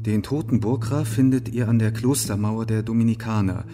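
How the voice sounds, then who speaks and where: An actor playing a calm, thoughtful companion of a religious reformer in a German radio play, measured and questioning. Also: male voice, on the low side, over music, close to an everyday voice. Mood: solemn reading.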